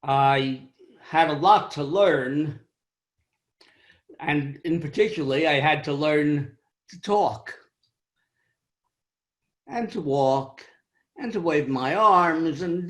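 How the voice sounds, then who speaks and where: An older man with a high-pitched voice tells a wry, self-deprecating story about his own life in a club speech over a video call. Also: takes it slowly, long pauses. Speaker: club speaker.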